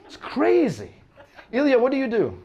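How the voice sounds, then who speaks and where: An American man doing stand-up crowd work, bantering in a halting, self-interrupting way and often chuckling. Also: very high voice, huge pitch swings, darkish tone, lo-fi sound. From United States. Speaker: stand-up comedian.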